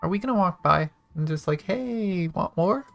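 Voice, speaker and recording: A woman with a slightly low voice talks casually through a live game stream. She sounds playful and informal, and game music plays behind her. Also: lively intonation, moderate pace, gravelly. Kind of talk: live game stream, reading story dialogue aloud and commenting casually.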